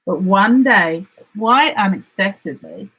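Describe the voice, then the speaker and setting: A woman with an Australian accent reads a children's picture book aloud, expressively and with deliberate dramatic emphasis. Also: mid-range voice, expressive, gravelly, fairly bright tone, slightly rough, rough recording. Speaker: storyteller.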